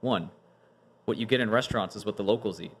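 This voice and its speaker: A man talking casually as a podcast guest, animated, telling stories and making analogies. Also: on the low side, fast talker. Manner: animated storyteller, uses analogies.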